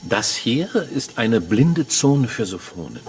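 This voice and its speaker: An actor playing a courteous, insistent official in a science fiction radio play. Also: male voice, mid-range voice, very animated, takes it slowly, slight background noise. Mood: courteous, businesslike. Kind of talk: radio drama acting. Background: music.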